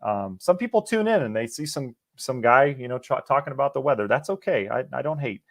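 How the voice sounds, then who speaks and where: A man, a meteorologist, casually answering viewers' questions during a live stream, sounding a little hoarse and sniffly as his voice starts to go. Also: medium pitch, animated, brisk, no room sound, studio quality. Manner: easygoing, chatty, answers viewers by name. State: losing his voice, a bit sniffly.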